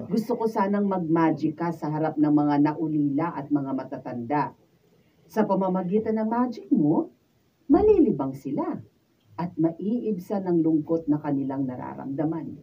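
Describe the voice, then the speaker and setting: A low-voiced, gracious Filipino lady benefactor. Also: expressive, unhurried, breathy edge, recorded from a distance, dull audio, full character voice. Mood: gracious, businesslike. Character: wealthy, generous female philanthropist offering work. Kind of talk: Filipino radio drama acting.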